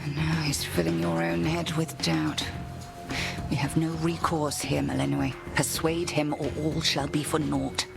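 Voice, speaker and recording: A woman voicing a stern, commanding headmistress witch in a game, speaking in clipped orders with a gravelly voice, over game music. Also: low-pitched, level tone, a little slow, full character voice. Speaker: headmistress and mentor. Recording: game dialogue with music behind.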